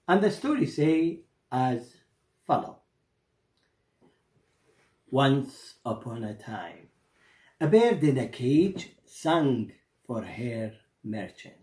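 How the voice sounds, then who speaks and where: A middle-aged male storyteller with an Iraqi Arabic accent speaks slowly, in halting, deliberate phrases. His pitch moves expressively, and the room adds a noticeable echo. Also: very animated, a little mellow. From Iraq. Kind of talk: explanatory storytelling for an audience. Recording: roomy echo.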